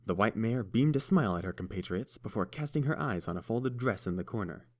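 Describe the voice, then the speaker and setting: A man narrating a story in a steady, even storytelling manner. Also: on the low side, a little fast. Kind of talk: fan audiobook narration.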